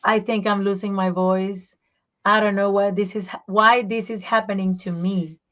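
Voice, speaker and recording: A woman voice teacher and singer, talking in a casual interview, animated and explaining with examples, sometimes searching for her words in English. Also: medium pitch, moderate pace, dull audio. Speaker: voice teacher and singer, podcast guest.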